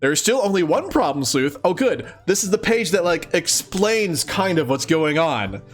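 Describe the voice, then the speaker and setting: Man, slightly high voice, swooping intonation, casual read-along commentary, amused, exclamatory, playfully sarcastic. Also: big pitch swings, normal speed, soft background music. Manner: amused, exclaiming, often chuckling. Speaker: host of a let's-read series. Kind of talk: casual reading aloud with running commentary.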